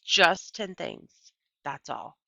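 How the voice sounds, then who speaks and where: An earnest young girl of ten, as a woman reader plays her, pleading and insistent. The voice is bright and swings up and down with feeling, in a children's storybook reading with a very dry, slightly dull sound. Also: on the low side, lively intonation, slow, creaky, no room sound, heavily acted. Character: ten-year-old girl, eager, pleading, determined. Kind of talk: children's audiobook character voice.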